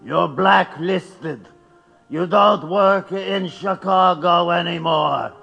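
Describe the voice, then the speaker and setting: A menacing male hired thug in an audio drama. His voice is very gravelly and he takes his time, cocky and threatening, in a reverberant space. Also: sits high, slow pace, pushed and bright, full character voice. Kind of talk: radio drama acting. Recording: reverberant room with music underneath.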